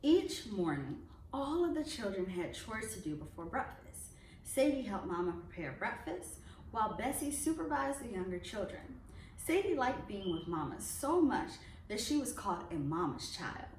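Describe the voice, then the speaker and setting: A woman speaking as host of a children's reading series, addressing families and narrating a storybook in an expressive, carefully enunciated way. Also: mid-range voice, normal speed. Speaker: club president hosting a children's reading series. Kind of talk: welcome speech and read-aloud storybook narration for children. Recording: roomy echo, distant mic, background noise.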